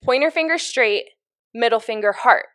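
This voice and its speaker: A woman's voice: fairly high pitch, moderate pace, no room sound. Chatty young woman co-hosting, dry sound. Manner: chatty, animated, laughs often. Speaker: podcast co-host. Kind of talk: casual chat. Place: home living room by a fireplace.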